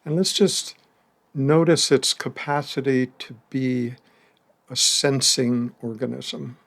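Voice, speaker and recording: A man guides a meditation in a calm, unhurried way, leaving long pauses between phrases. His voice has a gravelly edge at a mid pitch, and a soft laugh slips in now and then. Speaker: meditation teacher.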